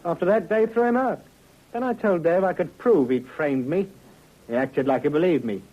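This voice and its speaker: A man's voice: fairly high pitch, normal speed, natural voice. An actor, a man, playing a cocky, sardonic ex-convict suspect with glib, slangy talk in a vintage radio drama. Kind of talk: 1950s radio drama acting. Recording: muffled old radio recording.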